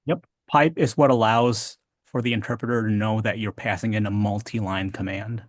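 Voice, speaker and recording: A man's voice: on the low side, steady tone, normal speed, no room sound, studio quality. A man teaching a technical class, explaining informally and step by step, often checking in with a short questioning tag. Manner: explanatory, checks understanding with "right?". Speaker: programming instructor.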